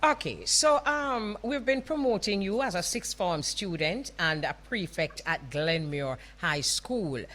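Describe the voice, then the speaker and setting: A woman's voice: on the low side, lively intonation, unhurried, hardly any pauses, fairly bright tone. A woman with a Jamaican accent hosting a radio show, chatting casually, often laughing and calling people love. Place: radio studio.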